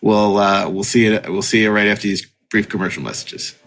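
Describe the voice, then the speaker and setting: A man hosting a podcast in casual chat, with a halting delivery that often repeats words. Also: fairly low pitch, creaky. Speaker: podcast host.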